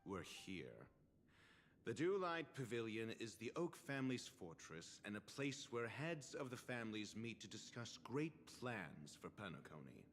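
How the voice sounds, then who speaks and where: An actor playing a haughty, learned doctor in a video game, pompous and formal, with measured, condescending delivery. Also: male voice, fairly low pitch, very animated. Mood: aloof.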